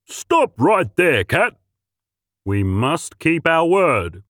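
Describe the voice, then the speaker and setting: A man voicing a scruffy, clever male mutt dog who speaks loudly and firmly, in a storybook reading. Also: a little high, very animated, drawn-out words, no echo, studio quality, pitched above the performer's own voice, exaggerated voice. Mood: commanding.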